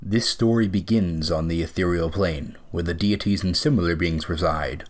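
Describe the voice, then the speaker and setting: A male narrator with a deep voice reads scripted storytelling. His delivery is that of a narrator setting scenes for an audio drama. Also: normal speed.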